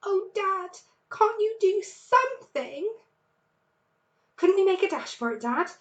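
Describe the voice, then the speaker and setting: A woman's voice: extremely high pitch, big pitch swings, slow, dull audio, voice pushed up, full character voice. A woman reading a children's storybook aloud, voicing eager, pleading young fox cubs who are starving but plucky.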